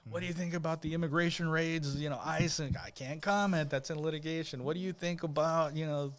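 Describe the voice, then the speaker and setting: A man's voice: fairly high pitch, steady tone, fairly quick. A man talking casually as a guest on a podcast, easygoing and rambling, with plenty of fillers and restarts. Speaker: guest, judicial candidate.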